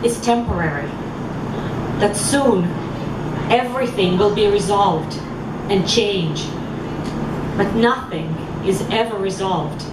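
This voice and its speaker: Woman, slow solemn reading, distant mic, echoey, loud traffic and air conditioning hum. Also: medium pitch. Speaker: reader at a public event. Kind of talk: reading a letter aloud. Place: outdoor public venue. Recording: echoey, far from mic, loud street noise.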